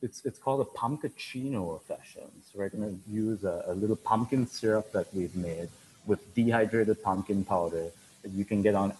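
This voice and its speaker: A man in his thirties explains a drink recipe from behind a bar in an easygoing, rambling way. His voice sits a little low. A faint hiss sits under the recording. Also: rough recording. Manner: easygoing, repeats words while explaining. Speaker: bartender. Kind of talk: cocktail demonstration. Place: dim bar set, indoors.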